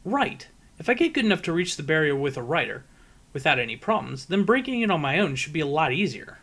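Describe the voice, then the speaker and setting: A man's voice: a little high, big pitch swings, fast talker, a bit bright, full character voice. A man voicing a brash, boastful young female pegasus pony in a storybook reading, cocky and emphatic. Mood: confident, eager. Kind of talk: fan fiction audiobook character voice.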